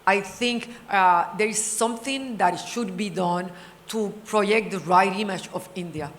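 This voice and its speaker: A woman's voice: mid-range voice, measured pace. A middle-aged woman from Cuba, a native Spanish speaker with a Cuban accent, talking conversationally and animatedly as a panel speaker. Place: large indoor hall at a summit. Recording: reverberant hall with faint background noise.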